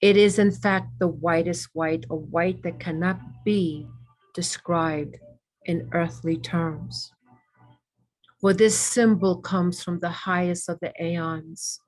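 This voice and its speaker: Slow, low-pitched woman guiding meditation. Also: steady tone, a little mellow, low-quality recording. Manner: reverent, measured delivery in short phrases with long pauses. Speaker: meditation guide. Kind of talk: guided meditation with ASMR elements.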